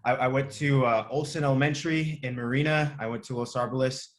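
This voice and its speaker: A man's voice: mid-range voice, steady tone, brisk. A man from California answering as an interview guest, casual and reflective, often searching for words. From Monterey area, California.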